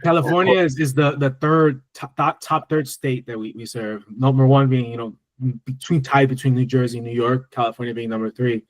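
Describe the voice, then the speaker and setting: A man's voice: mid-range voice, steady tone, no echo, low-quality recording. Man answering questions on a video webinar. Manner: quick, loose, many restarts. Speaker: tax strategist. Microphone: video call.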